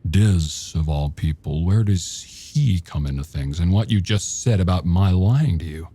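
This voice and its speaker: A man reading aloud voices a calm, evasive husband who speaks softly, measured and insistent. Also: husky. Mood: controlling. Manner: soft, low, measured and insistent. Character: calm, evasive middle-aged husband, quietly controlling.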